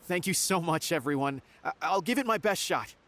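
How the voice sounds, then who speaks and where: A man's voice: fairly high pitch, expressive, moderate pace, fairly bright tone, slight background noise. A man voicing a timid, courteous, grateful singer in a video game, with hesitant delivery. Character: timid, polite, grateful male singer out of practice. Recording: music behind.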